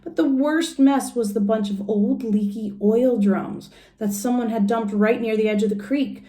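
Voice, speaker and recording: A woman's voice: a little high, normal speed, warm tone, echoey room. A woman reading a children's storybook aloud like a teacher, adding simple explanations and questions for young listeners.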